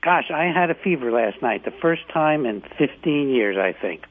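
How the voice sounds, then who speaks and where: An older man hosting a radio show from home, hoarse from the flu, rambling with fillers and restarts. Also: mid-range voice, animated, moderate pace. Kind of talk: casual talk radio monologue. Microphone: home setup, not his usual studio mic. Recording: tinny phone-like line.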